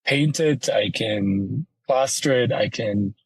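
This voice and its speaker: A man from Ethiopia, a company founder, answering interview questions in a measured, explanatory way. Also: on the low side, slightly rough, dry recording. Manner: measured, explanatory, uses "you know" and "right?". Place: home office in Ethiopia, remote call.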